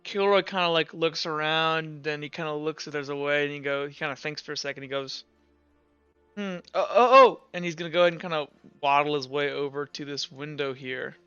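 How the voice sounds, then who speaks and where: A man narrating a tabletop game as game master in a casual, halting, conversational way. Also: fairly high pitch, little pitch movement, sharp tone, clean tone, no echo. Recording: slightly dull, lo-fi audio. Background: music.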